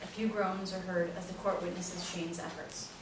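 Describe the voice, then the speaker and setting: A woman's voice: on the low side, flat delivery, moderate pace. A woman narrator reading scripted stage directions at a play reading, recorded in an echoey room. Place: echoey indoor room, far from mic. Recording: very echoey room, distant mic, noisy.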